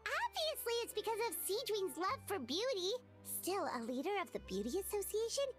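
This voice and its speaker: Squeaky excitable little fairy sidekick for a game, super high, all over the place. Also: female voice, moderate pace. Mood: amazed. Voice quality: squeaky, childlike. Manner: exclamatory, gushing, refers to self in third person. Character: tiny excitable floating fairy companion, childlike and nosy. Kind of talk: video game character acting. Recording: music behind the voice.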